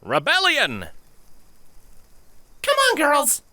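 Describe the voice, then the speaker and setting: A young changeling filly, bold and studious, in a very high, bright voice. She takes charge like a bossy little bookworm in a storybook adventure, with lively swings of pitch. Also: drawn-out words, exaggerated voice. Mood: gleeful.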